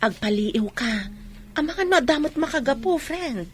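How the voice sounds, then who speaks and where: Woman as a high-voiced, nosy best friend. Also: animated, normal speed, a little hiss or hum. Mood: inquisitive, concerned. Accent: Ilocano. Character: inquisitive, concerned female best friend. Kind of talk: Ilocano radio drama acting.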